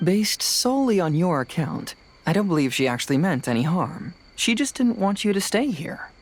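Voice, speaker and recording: An actor playing a confident, fearless young male detective in a video game, reasoning in a measured, analytical way. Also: high voice, big pitch swings, a little hiss or hum. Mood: reasoning, assured. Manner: measured, reasoning, self-assured.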